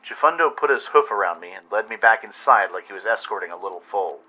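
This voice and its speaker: A man's voice: on the low side, animated, quick pace, sharp tone, poor audio. A man reading a dramatic scripted adventure story as narrator. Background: music.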